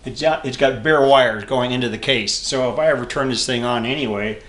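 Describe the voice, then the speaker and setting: A man in his seventies giving a casual, unscripted hobby show-and-tell, halting and rambling as he thinks aloud. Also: medium pitch, fairly bright tone. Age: 70s. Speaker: hobbyist vlogger showing vintage electronics. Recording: echoey room with clear background noise and clicks.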